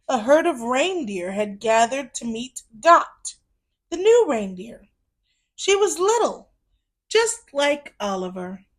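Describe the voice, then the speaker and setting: A woman narrates a children's storybook in a fairly high voice. Her delivery is very slow and deliberate, with expressive phrasing meant for young children. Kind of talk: scripted storybook read-aloud narration.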